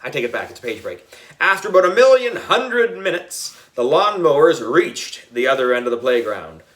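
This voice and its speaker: Animated, bright-voiced man reading a story. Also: a little high, moderate pace. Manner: playful, expressive, exaggerated. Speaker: audiobook reader. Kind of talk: animated children's story narration.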